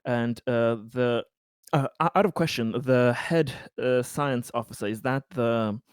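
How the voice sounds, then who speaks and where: Halting man roleplaying an advisor, slow-paced. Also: medium pitch, clear, resonant tone, no echo, clean studio sound. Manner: hesitant, halting delivery. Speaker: tabletop roleplaying player. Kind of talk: improvised in-character advisory report at a tabletop game.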